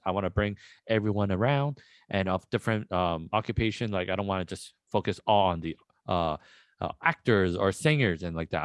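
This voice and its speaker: Smooth-voiced low male podcast host. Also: dry recording. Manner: relaxed, rambling, many fillers. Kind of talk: casual podcast interview.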